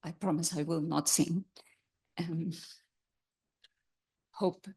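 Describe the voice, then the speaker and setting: Woman, keynote talk, halting delivery, lowish voice. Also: steady tone, gravelly, warm tone, husky. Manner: hesitant, many fillers and restarts. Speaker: keynote speaker. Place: conference hall.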